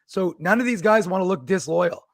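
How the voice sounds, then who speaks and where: A hoarse man co-hosting a sports talk show, his voice high and gravelly from losing it. He rambles as he builds his questions out loud. The sound is dry and close. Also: expressive, moderate pace, dry recording. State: hoarse, has lost his voice. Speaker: co-host.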